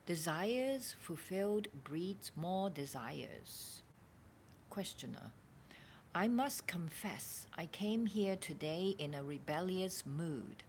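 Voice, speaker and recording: A woman informally reading a spiritual dialogue aloud as a teacher, with a deliberate, expressive and at times halting delivery. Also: on the low side. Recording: background room noise.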